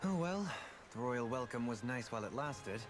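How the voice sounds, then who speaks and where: A man's voice: mid-range voice, expressive, moderate pace, over music, close to an everyday voice. A man voicing a cocky young brawler. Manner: cocky, breezy. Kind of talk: video game voice acting.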